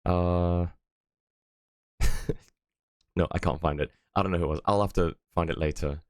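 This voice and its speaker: Deep voiced guy streaming from home, chatty and rambly, lots of ums, dry close mic. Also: fairly even intonation, normal speed, darkish tone, no echo. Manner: rambling, lots of fillers and chuckles. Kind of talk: casual stream chat.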